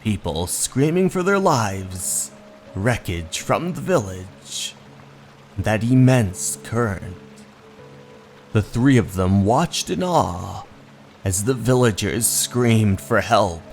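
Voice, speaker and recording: Deep-voiced, slow male horror narrator. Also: lively intonation, creaky. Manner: theatrical, measured. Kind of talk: scripted dramatic horror story narration. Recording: voice over background music.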